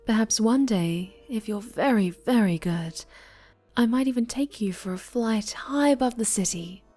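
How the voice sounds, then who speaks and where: A woman acts out a sinister winged superheroine in an ASMR audio drama, obsessive and possessive. Her delivery is slow and breathy, softly menacing as it coos and condescends, sometimes sinking into whispers. Faint music plays behind her. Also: fairly high pitch. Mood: cooing, doting. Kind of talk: slow, intimate ASMR roleplay acting.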